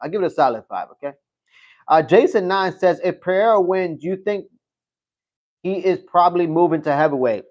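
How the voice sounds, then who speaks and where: Man, higher voice, casual stream host, rambling, repetitive, swears, muffled lo-fi audio. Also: lively intonation, moderate pace, darkish tone. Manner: rambling, repeats himself, fillers and profanity. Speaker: livestream host. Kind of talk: casual live-stream commentary.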